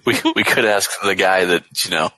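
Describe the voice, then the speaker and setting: A man's voice: deep voice, brisk, bright, forceful tone, slight background noise, poor audio. A man co-hosting a casual podcast chat, rambling and chuckling. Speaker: podcast co-host.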